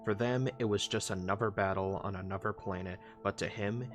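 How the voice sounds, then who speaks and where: A man's voice: lowish voice, fairly even intonation, a little fast. A man reading scripted, dramatic narration for a story recap video, with music playing behind him. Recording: loud music under the voice.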